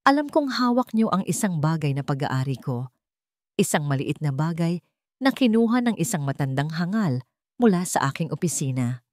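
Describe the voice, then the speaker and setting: A woman's voice: deep voice, very animated, a little mellow, dry recording. Woman doing cold snobby rich villain lady from an audio story, mocking n threatening, very dry sound. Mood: smug menace. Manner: taunting, menacing, deliberate. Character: cold, haughty, venomous wealthy older villainess, mocking.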